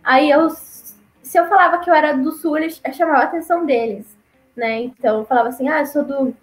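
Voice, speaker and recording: A young woman from Brazil, chatting casually and laughing often as she tells stories, acting out the people she quotes in a higher voice. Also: brisk, reverberant, rough recording. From Paraná, southern Brazil. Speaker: guest, former missionary. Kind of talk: casual conversational storytelling.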